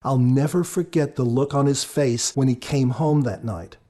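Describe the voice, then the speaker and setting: Husky, dark-voiced man, earnest commentary. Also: medium pitch, normal speed. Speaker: author and podcast guest commentator. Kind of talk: reflective commentary on his own story.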